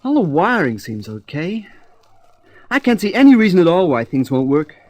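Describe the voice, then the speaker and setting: A man's voice: a little high, very animated, moderate pace, a little mellow, no room sound. An actor playing an impatient, hot-headed spaceman in an old-fashioned radio drama, with a brusque, slangy way of talking. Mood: practical, matter-of-fact.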